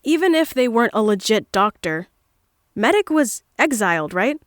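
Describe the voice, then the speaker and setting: Woman playing a laid back smartass captive in a space comedy drama, slightly high voice, dry recording. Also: very animated, moderate pace, no room sound. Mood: sardonic, playful. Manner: casual, sardonic. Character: irreverent, wisecracking human woman, laid-back space prisoner. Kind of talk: comic audio drama acting.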